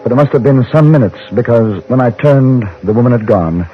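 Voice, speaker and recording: Man acting a polite newspaper correspondent, measured literary delivery, mid-range voice, old radio drama, dull recording. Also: mid-range voice, animated, slight background noise, lightly acted. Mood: reflective. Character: courteous, observant frontier newspaper correspondent, a man. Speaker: narrator and lead character. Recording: muffled old radio recording with loud music.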